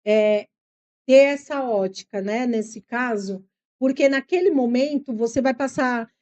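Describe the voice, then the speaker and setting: Mellow higher-pitched woman with a São Paulo accent. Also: unhurried. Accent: São Paulo Brazilian Portuguese. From São Paulo, Brazil. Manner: earnest and reflective, explains patiently. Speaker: psychologist guest. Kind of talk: podcast interview, personal storytelling.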